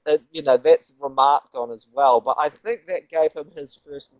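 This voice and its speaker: A man's voice: fairly high pitch, normal speed, dry recording, poor audio. A man from New Zealand with a light New Zealand accent, a guest explaining things politely and thoughtfully in a casual online chat.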